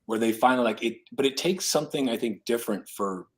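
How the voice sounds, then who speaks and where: A man's voice: mid-range voice, fast talker. A man on a podcast panel, explaining economics casually with lots of filler words.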